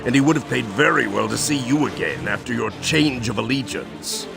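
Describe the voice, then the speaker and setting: A man playing a cold, calculating robot villain in a video game, speaking in a measured, sardonic, formal way. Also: mid-range voice, animated, gravelly, loud music behind the voice. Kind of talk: video game cutscene acting.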